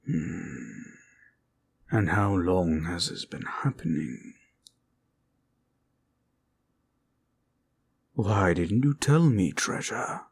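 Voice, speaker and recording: A man playing an ancient, gentle, fatherly red dragon in an audio roleplay, speaking tenderly in old-fashioned formal phrases. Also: exaggerated voice. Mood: gently concerned. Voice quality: deep, gravelly, husky voice.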